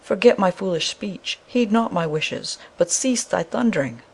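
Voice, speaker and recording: Woman playing an excitable, gravelly-edged poor woodcutter. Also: lowish voice, normal speed, exaggerated voice. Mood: fearful pleading. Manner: exclamatory, archaic phrasing. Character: simple, excitable poor woodcutter husband, hasty and blustering.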